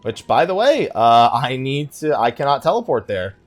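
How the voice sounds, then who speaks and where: A man with a mid-range voice hosts a casual let's play. His pitch moves around a lot as he thinks aloud and mutters asides to himself. Game music plays softly behind him. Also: very animated, normal speed. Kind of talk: casual gaming commentary.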